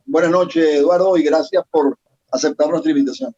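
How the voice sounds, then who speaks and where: Male forum host, fairly high voice, halting introductory delivery, slightly muffled online audio. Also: dark tone, breathy edge. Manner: halting, repeats phrases as he goes.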